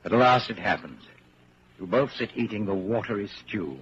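An actor playing a grave narrator in a 1940s radio drama, speaking with measured, deliberate delivery. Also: male voice, on the low side, animated, lightly acted. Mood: grave, suspenseful. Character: grave narrator telling the listener his own story in second person. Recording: scratchy, muffled 1940s radio broadcast.

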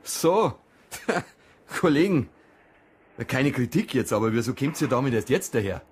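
An actor playing a businesslike lead police investigator in a radio crime drama, directive and probing, with a husky voice. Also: male voice, medium pitch, expressive, a little slow. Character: businesslike male police investigator leading the case. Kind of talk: naturalistic German radio crime drama acting.

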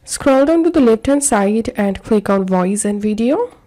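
Slow, mellow woman giving tutorial instructions. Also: fairly high pitch, animated. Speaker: tutorial presenter. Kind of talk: step-by-step software tutorial narration.

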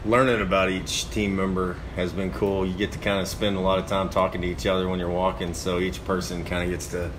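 A man's voice: low voice, little pitch movement, a little fast, few pauses. A man, a walking team member chatting in a laid-back, upbeat way. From Dallas, Texas. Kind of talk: casual group chat. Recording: noisy room with background hum.

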